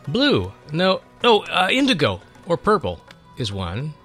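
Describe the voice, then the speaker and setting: Man as an eager young pupil, raised lively pitch. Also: a little slow, faint music behind, exaggerated voice. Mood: thoughtful, eager. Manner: inquisitive, deferential, thoughtful. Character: eager, earnest young male servant and pupil. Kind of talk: dramatic storybook reading.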